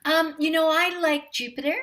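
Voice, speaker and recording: A woman with a light voice, talking warmly and unhurriedly as a guest in a casual interview. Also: sits high, steady tone. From Canada. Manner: warm, friendly, unhurried. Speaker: voice actress guest.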